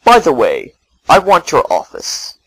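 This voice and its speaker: A man's voice: medium pitch, huge pitch swings, measured pace, fairly bright tone, dry recording, full character voice, poor audio. A man voicing a smug, greedy corporate businessman turned professor in an amateur parody reading. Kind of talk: amateur dramatic reading of parody fan fiction.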